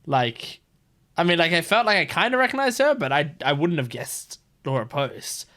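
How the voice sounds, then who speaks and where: A man's voice: fairly high pitch, big pitch swings, moderate pace, sharp tone. A man hosting a reaction video, giving casual, gushing and enthusiastic commentary.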